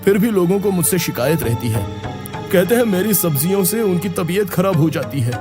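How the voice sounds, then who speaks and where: A man voicing a humble, hardworking village vegetable seller who speaks gently and earnestly, with music behind. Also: high voice, animated, voice pushed up. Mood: dejected. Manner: gentle, earnest; drawn-out hawking calls. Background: background music.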